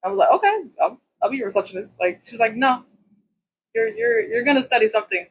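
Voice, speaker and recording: A woman's voice: on the high side, very animated, fast talker, creaky, slight background noise. A woman in her thirties from Puerto Rico, a salon owner telling her story in a loose, chatty interview style with plenty of fillers.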